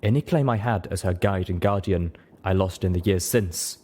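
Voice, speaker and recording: Man as a dignified, measured zebra mother. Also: low voice, slight background noise, natural voice. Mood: sorrowful, steady. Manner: formal, measured, steady. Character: dignified zebra mother, reserved, sorrowful yet steady.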